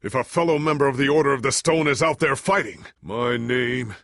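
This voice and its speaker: An actor playing a proud but anxious, forgetful legendary warrior in a video game, with an earnest, formal, theatrical delivery. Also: male voice, mid-range voice, brisk, a bit bright, no room sound, studio quality, close to an everyday voice. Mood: proud, declaiming. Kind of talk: dramatic video game voice acting.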